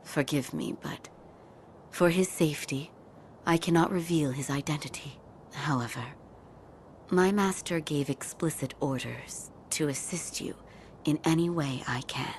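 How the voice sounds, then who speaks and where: An actress playing a calm, devoted doctor of feudal Japan in a video game, reserved and courteous. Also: female voice, low voice, unhurried, slight background noise. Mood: reserved, solemn. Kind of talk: video game cutscene acting.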